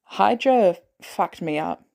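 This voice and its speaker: A woman voicing a weary, guilt-ridden male former assassin in an audio drama, terse and hesitant, with dry, protective gruffness. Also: fairly low pitch, animated, slow pace, a little mellow, exaggerated voice. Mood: pained, weary.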